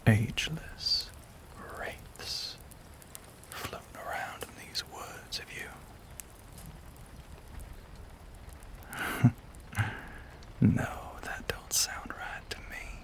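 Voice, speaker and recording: Man acting a whispering, slow-drawling Southern gentleman vampire. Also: close to an everyday voice. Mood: bitter, wry. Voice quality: breathy, whispery. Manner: slow, genteel, old-fashioned phrasing. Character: lonely, brooding Southern gentleman vampire, tormented and genteel. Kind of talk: intimate audio roleplay acting.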